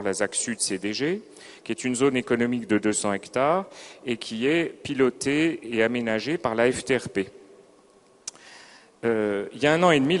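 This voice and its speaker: A man giving a formal, measured panel speech as a local official at a seminar, choosing his words carefully. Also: mid-range voice, a little hiss or hum. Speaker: local authority representative on a panel. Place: seminar venue, round table.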